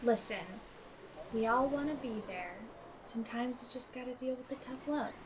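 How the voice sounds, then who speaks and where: A woman's voice: a little high, normal speed, lightly acted. A woman acting a loyal group member in an audio drama, casual and matter-of-fact. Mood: assured, casual. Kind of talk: naturalistic audio drama dialogue. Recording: clear outdoor ambient noise behind.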